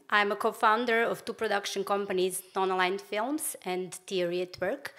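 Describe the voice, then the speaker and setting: A woman's voice: on the low side, a bit bright. A woman in her thirties with a Serbian accent, a film producer giving a prepared presentation at a stage microphone. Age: 30s. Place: large indoor festival stage. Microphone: microphone on a stand.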